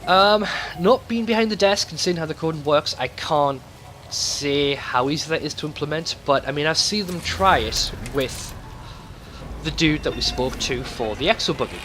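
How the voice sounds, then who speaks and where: A man's voice: on the high side, moderate pace, bright, forceful tone, a little hiss or hum. A man hosting a gaming video, talking casually and thinking aloud in a conversational let's play commentary.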